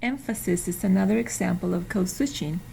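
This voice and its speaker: A woman's voice: mid-range voice, creaky, dark tone, slight background noise, rough recording. A woman, carefully reading a prepared class presentation. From Mexico. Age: 50s. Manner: careful, deliberate reading with some restarts. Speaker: student.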